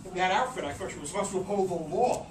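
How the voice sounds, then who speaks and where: A woman's voice: on the low side, fast talker, natural voice. A woman playing a vain, imperious mermaid diva who wisecracks theatrically in a story reading. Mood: arch, surprised. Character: vain, brassy mermaid diva, a sassy cabaret singer. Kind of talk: live dramatic story reading. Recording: noisy, echoey room from far off.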